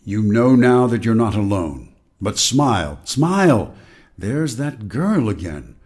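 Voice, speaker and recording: A man's voice: fairly low pitch, very animated, takes it slowly, a little mellow. An actor playing a man's urgent, warning inner voice in a radio drama, giving clipped, bossy commands. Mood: urgent, scolding. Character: Winston's inner voice, urgent, warning, needling male conscience.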